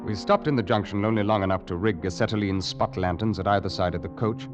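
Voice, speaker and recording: An actor playing a calm, methodical police inspector who narrates his case in a measured way in a mid-century radio drama. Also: fairly low pitch, highs cut off, natural voice. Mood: resolute. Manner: measured, deliberate, logical. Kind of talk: 1940s-50s radio drama acting. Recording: vintage radio drama with music behind.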